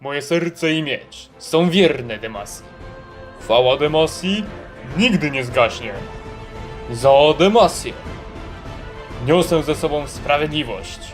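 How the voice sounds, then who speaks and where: A man's voice: fairly high pitch, animated, takes it slowly, pauses often, soft background music. A man voicing a noble, patriotic knight in an earnest, declamatory manner. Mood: proud, resolute. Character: proud noble knight devoted to homeland and justice.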